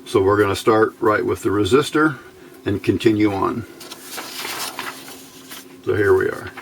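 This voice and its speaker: A man giving an unscripted hands-on technical tutorial in a matter-of-fact, halting, step-by-step way. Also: on the low side. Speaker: host of an electronics build tutorial. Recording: clear background noise, room ambience.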